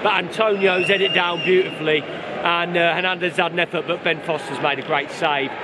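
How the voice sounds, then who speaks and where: A man's voice: fairly high pitch, fairly even intonation, brisk. A man giving a casual, sweary recap of a match as a fan. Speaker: football fan. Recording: loud crowd, noisy outdoor stadium.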